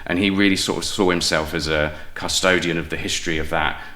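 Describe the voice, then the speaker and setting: A man's voice: deep voice, fairly even intonation, brisk, sharp tone. A man with a British accent talking casually and enthusiastically as an interview guest, often breaking off and restarting his phrases. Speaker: guest playwright and audio drama maker.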